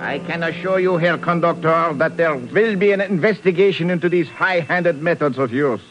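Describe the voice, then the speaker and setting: An actor playing an indignant, haughty doctor villain in a 1950s radio drama, pompous and stiff. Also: male voice, fairly high pitch, moderate pace, music in the background, natural voice. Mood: indignant, threatening. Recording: muffled, noisy 1950s radio recording.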